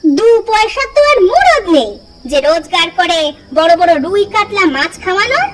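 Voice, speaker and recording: A woman voicing a sharp-tongued young village wife who scolds and mocks her husband. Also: extremely high pitch, big pitch swings, normal speed, low-quality recording. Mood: scolding. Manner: scolding, sarcastic. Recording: cartoon music under the voice.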